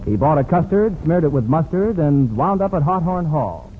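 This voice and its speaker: A man's voice: on the high side, big pitch swings, moderate pace, a little hiss or hum. An American man announcing a 1940s radio show in playful, rhyming hipster patter. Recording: narrow-band vintage radio recording, music behind.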